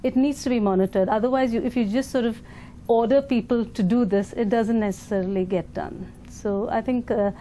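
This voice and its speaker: A woman's voice: a little high, fairly quick, mellow, slight background noise. An Indian woman leading an education foundation, answering interview questions thoughtfully with fillers and restarts.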